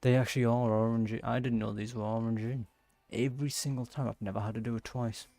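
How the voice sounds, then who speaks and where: Gravelly, mellow-voiced man playing a game. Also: mid-range voice, little pitch movement, quick pace, dry recording, soft background music. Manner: thinking aloud, muttering and exclaiming to himself. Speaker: gaming streamer playing and commenting. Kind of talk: casual live gameplay commentary.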